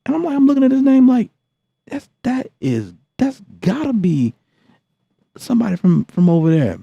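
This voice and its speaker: A man's voice: very high-pitched, big pitch swings, moderate pace, creaky, dark tone, slightly rough, no room sound. A man hosting a live beatmaking session, chatting casually and rambling with lots of fillers and restarts. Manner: laid-back, rambling, many fillers and restarts. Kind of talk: casual live-stream chat. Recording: dull lo-fi sound.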